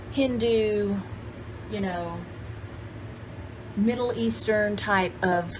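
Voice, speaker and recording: Casting: woman, 60s, enthusiastic rambling vlogger, medium pitch, chatty delivery to camera, recorded at home with noticeable background noise. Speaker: vlog host showing thrift haul finds. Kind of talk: casual chat to camera. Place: cluttered home craft room, indoors. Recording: clearly noisy home video background.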